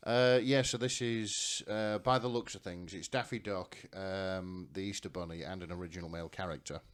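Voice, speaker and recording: Guy podcast host, kinda low voice, casual chat, stops and starts a lot. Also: fairly even intonation, moderate pace.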